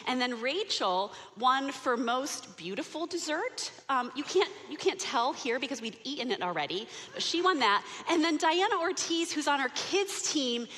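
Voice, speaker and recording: A woman pastor delivers a sermon with a bright, forceful tone and a slightly higher voice. Her storytelling turns lively and animated as she talks. The sound carries a long church-hall reverb. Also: moderate pace, hardly any pauses. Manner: warm storytelling, animated when excited. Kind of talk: sermon with personal stories. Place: church sanctuary.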